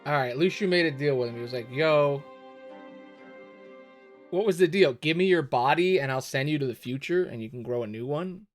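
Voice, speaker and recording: A man giving casual, slangy live commentary as a streamer, thinking out loud. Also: on the high side, moderate pace. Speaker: streamer reacting to a game story. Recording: music playing behind the voice.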